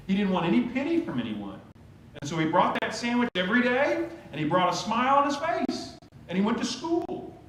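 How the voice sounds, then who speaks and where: Lively man preaching in an echoey church. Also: a little high. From Oklahoma. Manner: conversational, storytelling preacher with lively rises. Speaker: pastor. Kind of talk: sermon. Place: church sanctuary. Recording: very echoey church sanctuary, faint hum.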